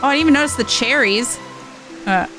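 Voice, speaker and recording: A woman's voice: sits high, fairly quick. A woman game streamer giving casual, playful, chatty commentary on her playthrough. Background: game music.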